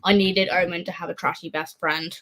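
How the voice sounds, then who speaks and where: A woman's voice: lowish voice, flat delivery, fairly quick, fairly bright tone. Informal, sweary woman chatting about books. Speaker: participant in a live book readalong discussion. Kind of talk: casual chat about books.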